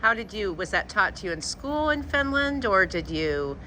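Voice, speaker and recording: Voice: mid-range voice, sing-song, normal speed, bright, forceful tone, slight background noise, rough recording. An interviewer asking friendly questions with hesitations and fillers.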